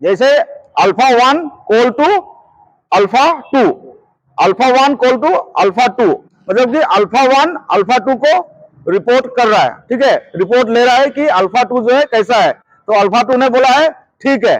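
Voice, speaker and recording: A man's voice: very high voice, expressive, unhurried, dry recording, highs cut off. A man teaching as a security trainer, repeating points and often checking that listeners follow. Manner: repetitive, checks understanding often. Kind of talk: hands-on instructional lesson.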